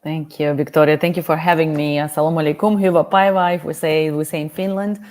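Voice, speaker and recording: Guest woman from Uzbekistan, very low voice, earnest, on a video call. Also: steady tone, quick pace, a little mellow. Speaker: guest speaker, diversity consultant. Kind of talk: livestream interview answer.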